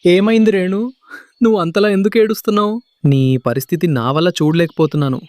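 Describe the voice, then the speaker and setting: A man's voice: sits high, fairly even intonation, brisk, a little mellow, natural voice. A man playing a kind, wise parrot in a children's story, speaking with concern and giving advice. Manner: concerned, advising.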